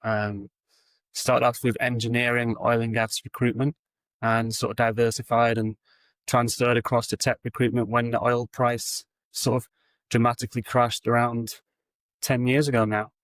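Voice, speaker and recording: A man, a recruitment founder answering interview questions over a video call in a relaxed, steady way with frequent ums. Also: medium pitch, moderate pace, no echo, poor audio. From England.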